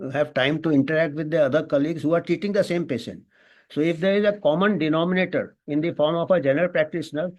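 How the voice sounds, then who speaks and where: An Indian-accented man speaks as an expert on a panel, in a somewhat high-pitched voice. He thinks aloud, halting and restarting his phrases as he builds his points. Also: darkish tone. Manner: halting, thinking aloud with frequent false starts. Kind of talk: extemporaneous panel remarks on a professional subject.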